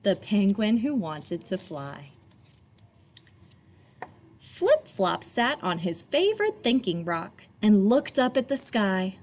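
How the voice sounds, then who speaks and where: A woman reading a picture book aloud to children expressively, in a teacherly way, pausing for young listeners. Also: medium pitch, very animated.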